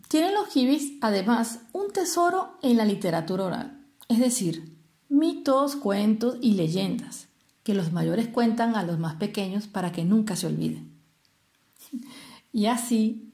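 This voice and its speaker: A woman from Venezuela with a Venezuelan Spanish accent, telling a traditional tale expressively and explaining things as she goes, with deliberate pauses. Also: fairly high pitch, vocal fry, dark tone. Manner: didactic, explains terms with rhetorical questions and pauses. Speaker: storyteller.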